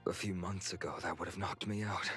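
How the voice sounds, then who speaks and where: An actor playing an earnest, resolute young swordsman hero in a video game, speaking in a measured, friendly way. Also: low-pitched, fairly even intonation, fairly bright tone. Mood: steady, grateful. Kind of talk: English video game voice acting. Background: background game music.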